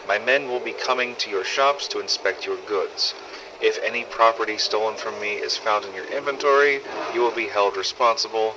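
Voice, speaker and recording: Man playing a cold, menacing, low-voiced crime boss. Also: lightly acted. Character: menacing male crime boss issuing threatening public notice. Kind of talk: dramatic notice reading. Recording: clearly noisy recording.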